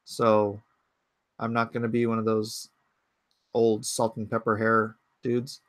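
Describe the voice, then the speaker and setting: A man's voice: on the low side, completely flat delivery, slow pace, no room sound. A man in his forties hosting a casual livestream from his home computer, rambling and thinking aloud as he answers chat comments. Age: 40s. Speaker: livestream host.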